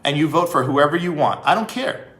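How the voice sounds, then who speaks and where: A man giving an emphatic opinion monologue as a vlog host, with rhetorical questions and deliberate pauses. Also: on the high side, lively intonation, a bit bright. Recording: echoey room.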